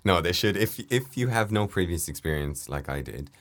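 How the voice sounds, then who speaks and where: Very deep-voiced Irish man. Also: normal speed. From Ireland. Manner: chatty, anecdotal, relaxed. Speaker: actor guest. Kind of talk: casual interview chat.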